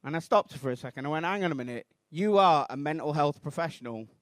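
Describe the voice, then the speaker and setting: A Mancunian man in his thirties doing a rambling, self-deprecating stand-up routine on a comedy club stage, bursting into shouted outrage. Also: fairly high pitch, lively intonation, fast, dry recording, clean studio sound. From Manchester, England.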